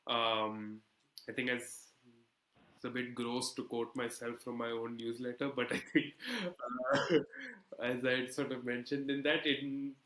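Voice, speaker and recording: A man's voice: medium pitch, flat delivery, unhurried, pushed and bright, slight background noise. A man in an interview explaining his work as an editor in an articulate, self-deprecating, conversational way. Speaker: politics editor being interviewed. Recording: echoey, roomy sound.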